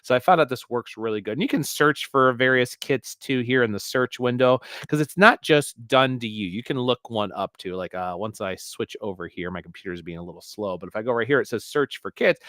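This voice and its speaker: A male teacher with a naturally loud voice, walking through a screen-recorded software tutorial in a rambling way full of fillers and restarts. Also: medium pitch, dry recording.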